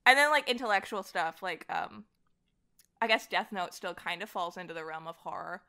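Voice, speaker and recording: Bright-voiced woman chatting casually. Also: on the low side, animated, moderate pace. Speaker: podcast guest, voice director. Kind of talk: casual chat.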